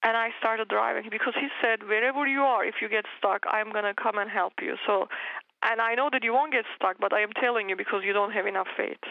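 A woman's voice: mid-range voice, steady tone, fast, creaky, no room sound, dull audio. A woman whose first language is Turkish, earnestly telling her personal faith story as an interview guest, restarting sentences often. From Turkey.

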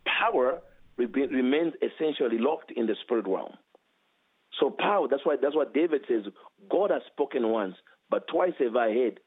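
A man's voice: moderate pace, husky. A man with a heavy accent, a guest author teaching with emphasis and repeating key phrases. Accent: heavy foreign accent. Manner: emphatic, repeats phrases, animated swings in pitch. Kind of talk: preaching teaching interview. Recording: tinny phone line.

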